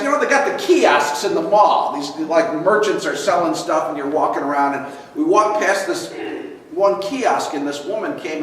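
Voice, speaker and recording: A man in his sixties, a pastor, telling a humorous story in a sermon with a chuckling, lively manner. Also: fairly high pitch, a little hiss or hum. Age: 60s. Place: large church hall with congregation. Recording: echoey hall from far off, congregation audible.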